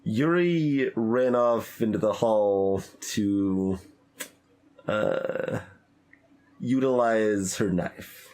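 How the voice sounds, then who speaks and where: A man's voice: fairly low pitch, extremely slow, darkish tone. A man giving live playthrough commentary on a visual novel, hesitant and thinking aloud as he reads. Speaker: streamer playing a visual novel.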